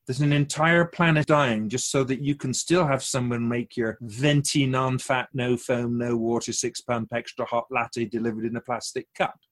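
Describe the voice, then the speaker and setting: A wise, otherworldly keeper of souls, acted by a man for a radio drama. The delivery is measured and instructive, with a gently chiding, wry edge. The pitch sits in a middle range. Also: fairly even intonation, natural voice. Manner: measured, didactic, wryly teasing. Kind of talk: conversational radio drama acting.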